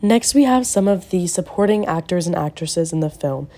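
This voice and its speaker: A young woman presents a scripted film review for a class. Her voice sits low and sounds soft and mellow. She slows down with care whenever she reaches a name. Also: lively intonation. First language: English. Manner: careful, slowed delivery on names. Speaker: student presenter.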